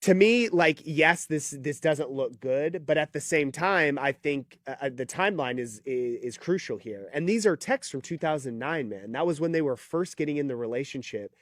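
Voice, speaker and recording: A man discussing news casually, in an informal, halting, repetitive way. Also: a little high, expressive, dry recording, clean studio sound.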